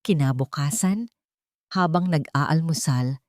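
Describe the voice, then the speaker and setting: Female audiobook narrator, slightly low voice, dramatic scripted storytelling, recorded close and dry. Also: slow, darkish tone, no room sound.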